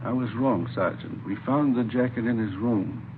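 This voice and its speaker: An actor playing a worried, ailing grandfather in his late sixties, plaintive and halting, in vintage radio drama style. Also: mid-range voice, lightly acted. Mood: anxious. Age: 60s. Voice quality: creaky, gravelly. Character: worried, ailing 68-year-old grandfather of missing boy. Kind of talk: 1950s radio drama acting. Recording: tinny, hissy old radio recording.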